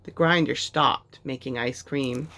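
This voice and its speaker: A woman's voice: extremely low pitch, measured pace, a bit bright. A woman reading a children's story aloud as narrator. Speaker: storybook reader.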